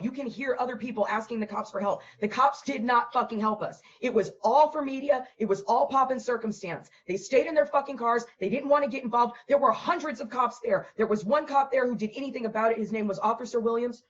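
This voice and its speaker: A hoarse woman who has lost her voice, hosting a vlog and ranting bluntly with a lot of profanity. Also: on the high side, brisk, few pauses, muffled recording. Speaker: host.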